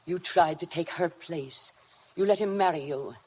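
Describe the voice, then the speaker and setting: An actress playing a cold, obsessive housekeeper in a 1950s radio drama, formal, deliberate and insinuating. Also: female voice, lowish voice, a little mellow, lightly acted. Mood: bitter, obsessive. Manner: deliberate, insinuating, coldly formal, hypnotic repetition. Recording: noisy vintage 1950 radio broadcast.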